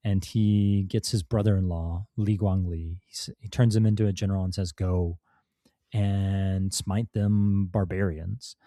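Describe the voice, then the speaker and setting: A man's voice: low voice, steady tone, a little slow, mellow, no room sound, clean studio sound. A man, a podcast guest and author, telling a history story in casual talk, with many fillers and restarts. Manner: rambling, many fillers and restarts, laughs now and then.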